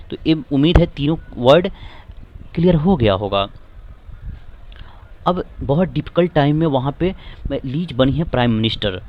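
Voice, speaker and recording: A man with an Indian accent walks students through an editorial, stretching each English phrase slowly before explaining it. He spells tricky words out letter by letter in a patient classroom way. His voice sits a little higher than most men's. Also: dull audio. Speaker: English teacher.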